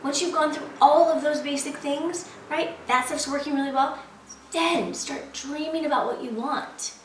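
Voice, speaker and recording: A woman with a fairly high voice gives a casual, emphatic motivational talk as a vlog host. She sounds a little away from the microphone in a very echoey room, and she keeps checking in with the listener conversationally. There is some faint noise in the recording. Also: moderate pace. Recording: echoey, noisy room, distant mic.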